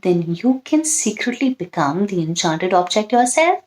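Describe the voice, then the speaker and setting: A woman's voice: medium pitch, sing-song, moderate pace, darkish tone. A woman hosting a children's storytime, reading expressively and chatting directly to young listeners.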